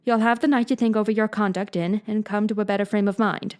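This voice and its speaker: A woman's voice: medium pitch, steady tone, fast, natural voice. Strict middle-aged woman guardian, severe and dry, read by a woman narrator. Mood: stern.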